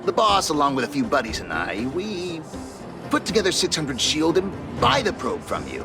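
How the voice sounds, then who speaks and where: An actor playing a greedy petty thief in a video game, theatrical, taunting and wheedling. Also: male voice, a little high, wildly swooping pitch, normal speed, music in the background. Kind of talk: animated video game voice acting.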